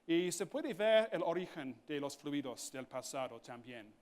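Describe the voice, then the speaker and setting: A man who speaks English natively gives a conference lecture in halting Spanish, slipping in English fillers like "so". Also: on the high side, very animated, a little mellow. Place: conference hall.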